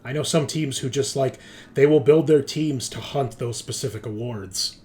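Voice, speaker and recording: A man's voice: medium pitch, normal speed, a little mellow. A man hosting a tabletop game as game master, bantering casually with teasing and swearing.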